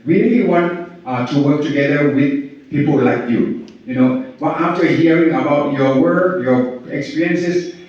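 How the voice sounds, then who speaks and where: A man from Thailand speaks to a gathered audience in a slightly high voice, stopping and restarting often and leaning on fillers between his points. He sounds far from the microphone, in a very echoey hall with a dull, lo-fi quality. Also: a little mellow. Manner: halting, many fillers and restarts, laughs at his own jokes. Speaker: head of partnership engagement at a farming organization. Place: large hall at an event.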